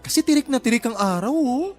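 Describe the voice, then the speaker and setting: A man's voice: very high voice, animated, quick pace, darkish tone. Over background music comes a flamboyant young Filipino college guy. He is sassy and cheeky, with campy, teasing delivery in a radio drama style. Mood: campy complaining. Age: young adult. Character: flamboyant, cheeky effeminate male college student. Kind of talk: Tagalog radio drama acting.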